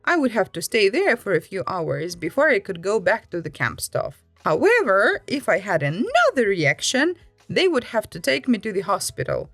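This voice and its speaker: A woman reading an online story aloud in an animated way, letting her pitch swoop up and down for emphasis. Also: moderate pace, dry recording, soft background music. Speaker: story reader.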